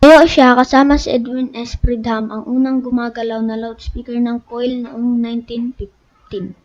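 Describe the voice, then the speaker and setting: A woman's voice: on the high side, level tone, normal speed. A Filipino woman reading a scripted educational narration aloud, stumbling over some words. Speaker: narrator of an educational video channel. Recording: dull lo-fi recording.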